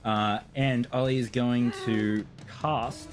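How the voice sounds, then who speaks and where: A man's voice: mid-range voice, slow. A man playing a tabletop role-playing game, casually describing his character's moves and hesitantly thinking aloud. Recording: clear music behind the voice.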